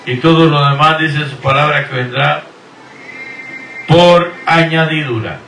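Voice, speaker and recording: A middle-aged man preaches in a slightly raised, bright voice, stretching out each blessing at an unhurried pace. He sounds set back from the microphone in an echoey room, with faint ambience behind him. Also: fairly high pitch, a little hiss or hum. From Guatemala. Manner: slow, drawn-out, earnest blessing delivery. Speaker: pastor. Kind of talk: preaching and radio greetings. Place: Houston, Texas. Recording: echoey room, voice from far off the mic.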